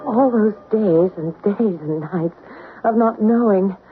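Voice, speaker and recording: A woman's voice: mid-range voice, takes it slowly, faint music behind, lightly acted. An actress playing a worried, emotional wife and mother in a 1970s radio drama. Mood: anxious. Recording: tinny 1970s radio broadcast with faint noise.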